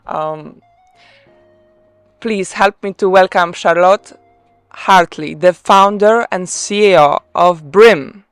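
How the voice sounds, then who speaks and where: A woman from Poland with a Polish accent, hosting a live online interview and speaking hesitantly with many pauses. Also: mid-range voice, clear, resonant tone, dry recording. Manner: hesitant, many ums and restarts. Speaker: host.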